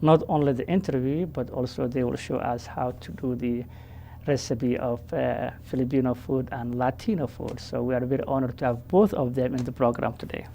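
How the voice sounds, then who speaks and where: Female host, very low and gravelly, halting delivery full of fillers. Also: steady tone, warm tone, a little hiss or hum. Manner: halting, many fillers and restarts. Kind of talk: casual interview.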